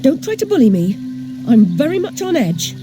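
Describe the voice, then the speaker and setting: Young British game heroine: highish, animated, tart and guarded, music behind. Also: female voice, moderate pace. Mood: irritated, tart. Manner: dry, tart, articulate. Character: sharp-tongued, guarded young British woman. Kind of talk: scripted video game acting.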